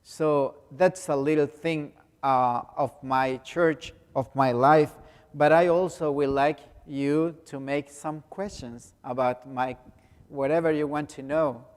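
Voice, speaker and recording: A Salvadoran man with a Spanish accent speaks very slowly and haltingly, circling back over his own words. His voice sits somewhat high for a man. The recording carries the long reverb of a large hall. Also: steady tone, clear, resonant tone. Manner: slow, halting, many restarts and fillers. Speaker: pastor. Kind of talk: speaking to a congregation in non-native English.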